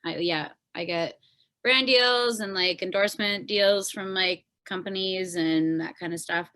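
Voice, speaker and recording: A woman's voice: medium pitch, unhurried. A woman chatting casually and informally as a podcast guest, telling things with lots of "like". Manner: informal, peppered with "like", animated retelling. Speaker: podcast guest, solo pop artist and content creator. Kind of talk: casual interview chat.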